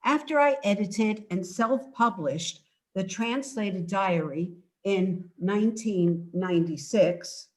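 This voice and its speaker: A woman's voice: medium pitch, slow, a little mellow. An older woman, an author, giving a prepared presentation as guest speaker.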